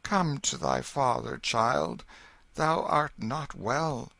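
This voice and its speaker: Man doing a gentle old king, archaic speech, soothing, raised somewhat, lively lilt. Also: medium pitch, slow, no room sound, voice pushed up, heavily acted. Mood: tender. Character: stern aging king, gentle toward his son.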